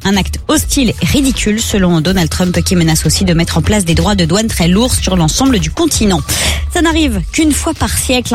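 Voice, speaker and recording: Woman reading radio news. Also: mid-range voice. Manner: steady, measured delivery. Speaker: newsreader. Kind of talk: read radio news bulletin. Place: radio studio.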